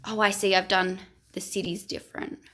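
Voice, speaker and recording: A woman, chatting casually and self-mockingly as an art vlogger while going a little hoarse. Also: medium pitch, flat delivery, moderate pace, pushed and bright, slight background noise. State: losing her voice. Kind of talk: casual vlog commentary.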